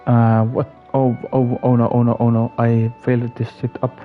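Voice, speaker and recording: A man's voice: mid-range voice, completely flat delivery, moderate pace, narrow, tinny audio, soft background music. A man live-streaming a game, muttering casual reactions to himself. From Finland.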